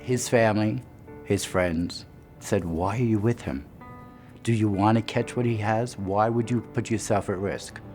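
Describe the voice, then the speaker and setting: Very deep-voiced man in his fifties. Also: a little slow, a little mellow. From Brooklyn, New York. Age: 50s. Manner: emphatic, direct. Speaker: interviewee. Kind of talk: personal testimony. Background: music.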